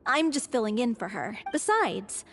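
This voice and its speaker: A woman playing a polite, earnest young stage performer in an anime fantasy game, speaking in a sincere, measured way. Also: a little high, big pitch swings, slight background noise.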